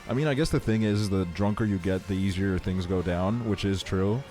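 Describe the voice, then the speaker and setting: A man with a fairly low-pitched voice chats casually as he livestreams a game. His talk is slangy and full of swearing. Soft music plays behind him. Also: a little fast. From Estonia. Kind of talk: casual chat while streaming a video game.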